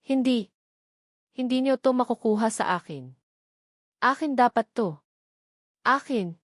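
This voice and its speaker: A woman's voice: on the high side, lively intonation, measured pace, no room sound, studio quality, pitched above the performer's own voice. A woman voicing an arrogant, desperate male villain in a dramatic story, taunting and threatening.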